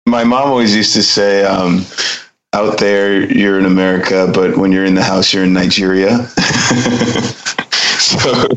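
Deep-voiced relaxed man. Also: unhurried, poor audio. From Southern California. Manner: easygoing, with frequent fillers. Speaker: guest designer. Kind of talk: relaxed interview storytelling.

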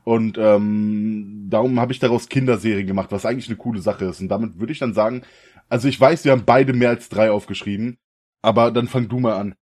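A young man from the co-hosting a casual podcast, slangy and relaxed, often laughing. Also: fairly low pitch, brisk. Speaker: podcast co-host. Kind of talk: casual chat.

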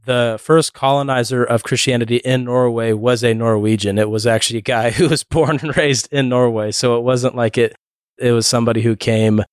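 A man with a smooth, resonant voice at a middle pitch talks with a conversational looseness, and the sound is close and dry. He asks guests questions and sums up their points. He keeps the discussion moving. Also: steady tone, brisk, dry recording. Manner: conversational, leads with questions, recaps others' points. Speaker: podcast host. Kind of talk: casual discussion.

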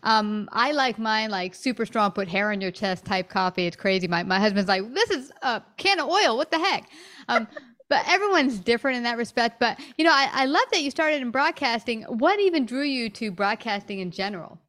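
Higher-voiced woman podcast host. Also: animated, moderate pace. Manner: warm, enthusiastic, with fillers. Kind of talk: casual interview chat.

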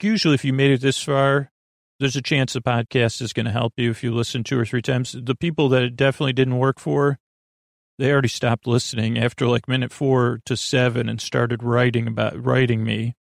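A man hosting a podcast in a rambling, informal bedtime monologue, halting often, interrupting himself and drifting into tangents. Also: mid-range voice, vocal fry, no room sound, studio quality. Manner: meandering, halting, self-interrupting with trailing tangents. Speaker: podcast host.

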